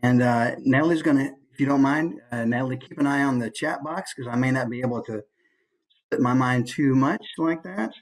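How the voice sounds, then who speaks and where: A man giving an informal online presentation in an easygoing, conversational way, with hesitant pauses as he goes. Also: mid-range voice, poor audio. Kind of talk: informal online talk with slides. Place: online video call.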